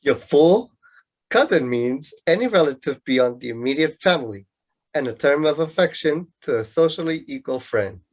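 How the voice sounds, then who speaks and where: An actor playing a know-it-all young student in a radio play, lecturing pedantically and with some exasperation in a husky voice. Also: medium pitch, lively intonation, moderate pace, lightly acted. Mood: exasperated. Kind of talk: amateur radio play acting.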